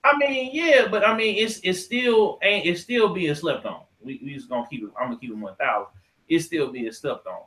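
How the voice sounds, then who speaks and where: An American man co-hosting a casual podcast debate, talking in slang, often halting and repeating himself. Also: fairly high pitch, animated, fairly bright tone, echoey room. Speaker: podcast co-host.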